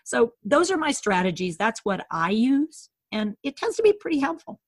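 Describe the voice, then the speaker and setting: A woman educator explains in a lively, casual way, playing out both sides of a conversation as she talks. Her voice sits fairly high and has a husky edge. The recording is dry and close, with no room sound. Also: normal speed, dry recording. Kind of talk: casual teaching chat.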